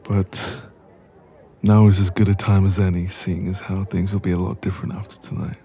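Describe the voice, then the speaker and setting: Man acting a low, creaky, anxious young man. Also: level tone. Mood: downcast, vulnerable. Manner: hesitant, soft-spoken, trailing pauses. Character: insecure, anxious young man confiding in a friend. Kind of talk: intimate ASMR audio roleplay.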